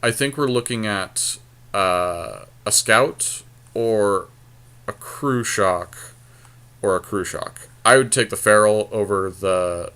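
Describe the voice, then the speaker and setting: Low-voiced man talking through choices casually. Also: takes it slowly, bright, forceful tone, clean tone. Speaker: podcast co-host. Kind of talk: casual card game draft commentary.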